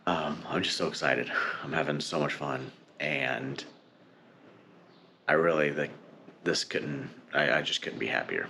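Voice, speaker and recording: A man talking casually and informally to camera as a family travel vlogger, unhurried and thinking aloud. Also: extremely low pitch, vocal fry, a little hiss or hum. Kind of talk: casual vlog chat to camera. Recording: loud music under the voice.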